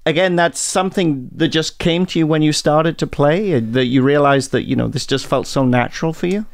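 A man's voice: on the high side, a little fast, vocal fry. A man hosting a radio interview, asking enthusiastic questions and often searching for his words. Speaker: radio host.

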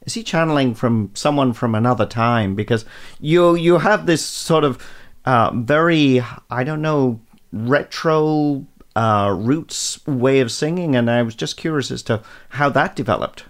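A male radio host with a middle-pitched voice who sounds keen and curious. He asks his guest questions, pausing and restarting as he hunts for the right words. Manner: enthusiastic, searching for words in questions. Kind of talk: radio interview.

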